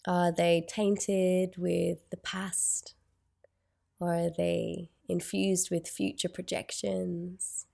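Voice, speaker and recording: A woman leading a guided meditation in a soothing, unhurried way, with gentle phrasing and long pauses. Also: on the low side, little pitch movement, vocal fry.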